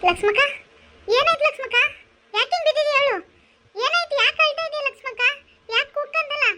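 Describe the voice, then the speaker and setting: A woman's voice: extremely high pitch, steady tone, fairly quick, bright, forceful tone. A woman playing a bossy, loyal village neighbour in a rural melodrama, full of exclamations and scolding. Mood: alarmed.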